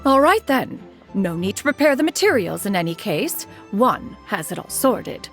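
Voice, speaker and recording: A woman's voice: mid-range voice, very animated, moderate pace, faint music behind. An actress voicing a proud, aloof immortal woman in a video game, speaking in formal, archaic and lofty phrases. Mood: aloof, brisk. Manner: formal archaic diction, calls herself "one", lofty. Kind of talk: scripted game character dialogue.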